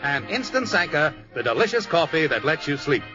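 A man's voice: on the high side, big pitch swings, brisk, music in the background. A man announcing closing credits and sponsor lines in polished 1950s radio style. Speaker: show announcer reading credits.

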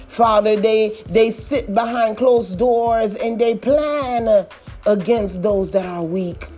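A woman leading a fervent, pleading prayer, repeating her appeals and rising to shouts. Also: medium pitch. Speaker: prayer leader.

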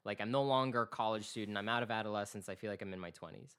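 A man's voice: lowish voice, rapid-fire, fairly bright tone, clear, resonant tone. A man in his twenties co-hosting a music podcast, talking earnestly in a rambling way with many restarts. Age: 20s. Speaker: podcast co-host. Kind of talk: casual chat.

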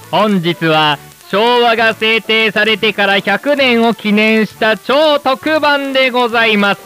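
Man playing a slow, high-pitched grandiose TV announcer. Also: bright, forceful tone, exaggerated voice. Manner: grandiose, emphatic, drawn-out phrasing. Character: rousing male TV host of a Showa-era live special. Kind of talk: formal showy broadcast announcing. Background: background music.

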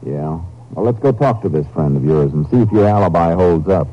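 Deep-voiced, stern Texas Ranger. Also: male voice, expressive, fairly quick. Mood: stern, probing. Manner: clipped, matter-of-fact. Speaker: lead investigator and narrator. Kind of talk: 1950s radio drama acting. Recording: noisy, dull 1950s radio recording.

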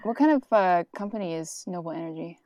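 A woman's voice: fairly low pitch, animated, quick pace, mellow, a little hiss or hum. A woman in her twenties co-hosting a podcast, upbeat and encouraging as she asks questions. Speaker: podcast co-host and interviewer. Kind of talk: casual conversational interview.

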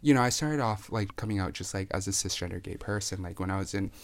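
A man's voice: low-pitched, fast talker, crowd noise. A man in his twenties, from Taiwan, talking candidly and with self-mocking humor as a podcast guest. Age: 20s. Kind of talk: casual interview chat.

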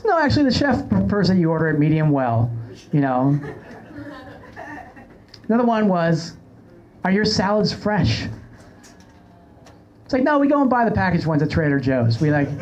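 A man's voice: on the high side, sing-song, moderate pace, pauses often, darkish tone. A man hosting a podcast with casual comedic chat and anecdotes in a joking, self-mocking manner. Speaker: podcast host. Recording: slightly dull, lo-fi sound.

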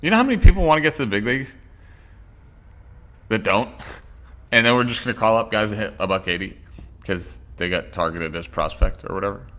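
A man's voice: fairly low pitch, expressive, fairly quick, pauses often, pushed and bright. A man co-hosting a casual sports podcast, opinionated and rambling with restarts. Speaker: podcast co-host.

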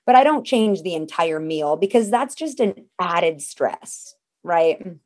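A woman's voice: on the low side, lively intonation, slow, a little mellow. A woman guest in a casual interview, talking in a chatty, practical way and walking through things step by step.

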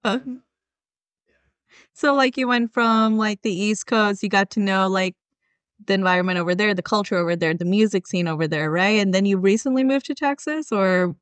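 Relaxed podcast host with dry sound. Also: male voice, high voice, normal speed, clear, resonant tone, no echo. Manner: relaxed, encouraging, loose questions. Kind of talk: casual interview.

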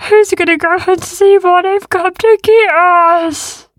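A woman voicing big horned beasts who are nervous and easily scared, in a high anxious voice. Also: steady tone, slow, fairly bright tone, dry recording, clean studio sound, exaggerated voice. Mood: fearful. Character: timid big furry horned beasts, jumpy and fearful.

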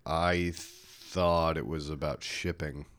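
A man's voice: extremely low pitch, fairly even intonation, slow pace, a bit bright. A young man hosting a casual podcast, joking loosely and swearing freely as he chats with a guest. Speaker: podcast host.

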